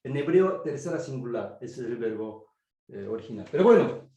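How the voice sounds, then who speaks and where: A man's voice: medium pitch, expressive, normal speed, dark tone, roomy sound. A man with a Korean accent, speaking Spanish as a second language, teaches a grammar class and keeps checking that students follow along. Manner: checks understanding with frequent "¿sí?". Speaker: teacher of biblical Greek. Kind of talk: online class lecture.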